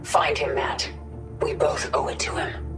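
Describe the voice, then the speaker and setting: A woman's voice: measured pace, echoey room, music in the background, natural voice. An actress playing a hardened, commanding and brooding alien queen in a video game. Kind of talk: video game cinematic acting.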